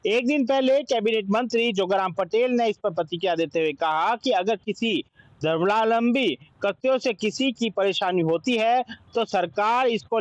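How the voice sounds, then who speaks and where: Male TV news reporter, Indian accent, notably high voice, scripted narration in a dry, close studio sound. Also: no echo, low-quality recording.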